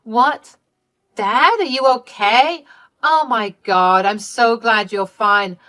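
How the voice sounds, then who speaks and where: A woman's voice: a little high, slow, a bit bright, voice pushed up. A woman voicing a spoiled, scheming younger sister in a story, whiny and indignant, with melodramatic pleading and accusing. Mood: panicked pleading.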